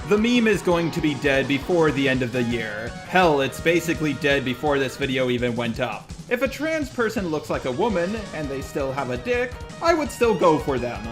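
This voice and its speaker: Male video host, scripted commentary, voice a touch high, clear music bed. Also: big pitch swings, moderate pace, hardly any pauses. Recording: music behind voice.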